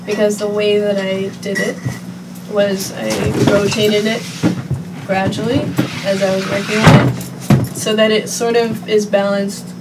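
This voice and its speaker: A woman artist as a guest on a podcast, easygoing and quick to laugh, thinking aloud as she explains her work. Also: medium pitch, level tone, far from the mic, heavy background noise. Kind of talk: casual interview chat. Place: podcast studio. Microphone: set back from a studio mic.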